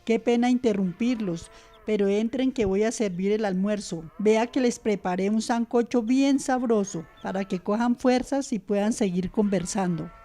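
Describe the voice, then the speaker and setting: A woman's voice: medium pitch, normal speed, dark tone, lightly acted. A woman with a Colombian accent playing a polite, caring woman serving a family meal in an amateur radio play. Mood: polite, inviting.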